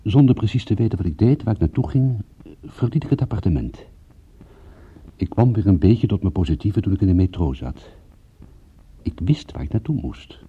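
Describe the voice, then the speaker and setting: A man playing a cynical private detective who narrates his case in a deadpan, sardonic way, as in a radio play. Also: on the low side, normal speed, pauses often, husky. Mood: wry, matter-of-fact. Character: world-weary, cynical male private detective recounting a case. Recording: muffled old radio-play recording.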